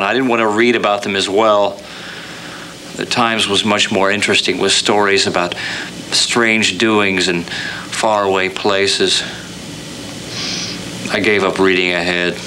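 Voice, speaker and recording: An actor playing a frustrated, bitter writer in a radio-play drama, cynical and declamatory, on a lo-fi analog recording. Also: male voice, lowish voice, steady tone, a little slow, pushed and bright. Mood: exasperated, scornful. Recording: very noisy lo-fi analog film transfer.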